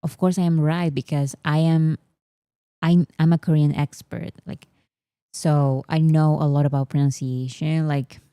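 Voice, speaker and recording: Young woman, spanish native, casual stream chat, low voice, jokey and mock-bragging, dry sound. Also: mellow, no room sound. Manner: playful, teasing, mock-boastful, laughs often. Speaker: host. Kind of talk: casual livestream chat reading comments.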